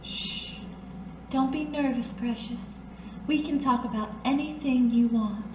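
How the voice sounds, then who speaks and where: A high-pitched, knowing woman of the 1930s underworld, played by an actress, coaxes and soothes with unflappable poise. It is radio detective drama, heard in a roomy space a little away from the mic. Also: measured pace, warm tone. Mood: coaxing, coy. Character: blind, worldly, soothing 1930s working woman who knows underworld secrets. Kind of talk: old-time radio detective drama acting. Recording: roomy, distant amateur recording, faint noise.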